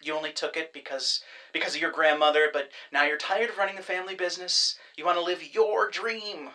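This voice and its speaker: A man's voice: fairly even intonation, brisk, bright, forceful tone. An actor playing a glib, self-satisfied man who kidnaps clients for a death-faking service, talking like a salesman. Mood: smug. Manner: glib salesman pitch, chatty and pleased with himself. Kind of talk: audio drama acting.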